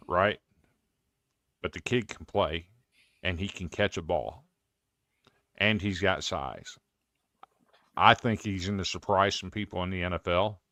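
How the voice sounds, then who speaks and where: A man's voice: sits low, fairly bright tone, dry recording. A man in his fifties with an American accent, telling stories in casual sports talk as a show guest. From Iowa, United States. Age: 50s.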